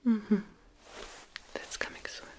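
Whispering young woman, ASMR roleplay. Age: young adult. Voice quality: soft, breathy whisper. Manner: slow, hushed, sparse short phrases. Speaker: ASMR roleplayer playing an eye and ear examiner. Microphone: close-up microphone.

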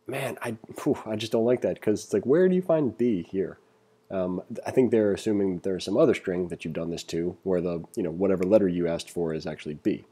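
A man with a low, very mellow voice leads an informal programming lesson. He thinks aloud as he goes, and his tone is husky and relaxed. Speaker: programming instructor. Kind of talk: casual coding tutorial, reading exercises aloud and thinking through code.

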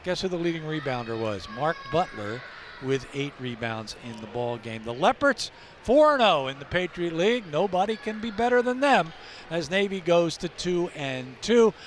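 Older male play-by-play host, slightly high voice, postgame stats wrap on headset, faint arena noise. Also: big pitch swings, moderate pace. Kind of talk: sports broadcast wrap-up. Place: courtside in a college basketball arena. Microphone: broadcast headset.

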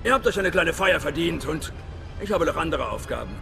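A talkative, self-satisfied male station chief from a sci-fi video game. He is theatrical, fairly high for a man and gravelly, with music behind him in a big echoing space. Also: lively intonation, a little fast. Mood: confidential. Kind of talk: dramatic sci-fi game dialogue. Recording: long reverberant hall, music behind.

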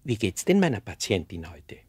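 Husky, gruffly teasing Austrian doctor. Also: male voice, lowish voice, sing-song, normal speed. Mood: teasing, kindly. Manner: dryly ironic, blustering. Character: gruff but kindly teasing old-fashioned doctor.

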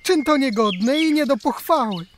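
Gallant brave hare. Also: female voice, high voice, expressive, moderate pace, a little hiss or hum. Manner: courtly, theatrical. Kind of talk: children's radio play acting.

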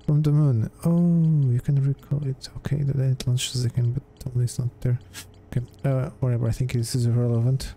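A man's voice: medium pitch, little pitch movement, a little fast, vocal fry, hardly any pauses, dark tone, a little hiss or hum. A man giving casual gameplay commentary, hesitantly muttering his thoughts aloud as he plays. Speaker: gamer, let's play host.

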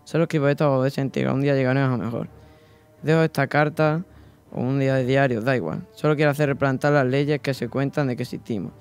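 A man's voice: medium pitch, steady tone, fast, clear, resonant tone, soft background music, natural voice. Teen boy reading as a weary 18th-century craftsman. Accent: Spain. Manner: plain reading-aloud delivery with small slips. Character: humble, weary male craftsman of the 1790s. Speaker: student reader on school radio. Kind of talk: reading a first-person letter in role. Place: small school radio studio. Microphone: desk microphone, headphones.

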